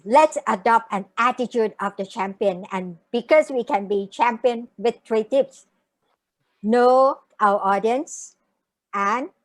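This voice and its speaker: A woman with a fairly high voice hosts an online meeting, recapping the previous talk at a slow, deliberate pace. The sound is dry and close, with no room around her. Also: no room sound, poor audio. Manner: slow, measured summary. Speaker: meeting host. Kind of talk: meeting hosting, summarizing a talk.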